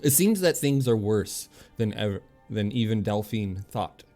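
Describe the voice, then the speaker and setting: Low-voiced man chatting on a game stream. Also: expressive, a little slow, mellow. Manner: easygoing, chatty, addresses viewers directly. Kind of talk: casual livestream chat with game commentary. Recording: game music behind the voice. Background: video game music.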